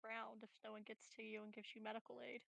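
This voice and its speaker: A woman's voice: fairly high pitch, little pitch movement, fast talker, gravelly, a bit bright, no room sound. A woman chatting casually as a player in a tabletop roleplaying game. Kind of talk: casual chat.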